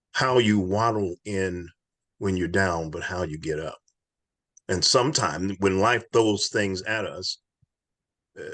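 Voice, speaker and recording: A man's voice: low voice, a bit bright, dry recording. A man hosting an inspirational podcast, speaking in an earnest, encouraging, preacher-like way. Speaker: podcast host.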